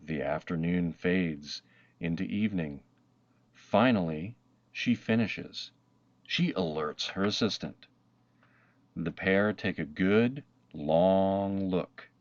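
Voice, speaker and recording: A man narrating a children's picture book aloud, with expressive, dramatic emphasis and deliberate pauses. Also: sits low, no echo, muffled recording. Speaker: storybook narrator.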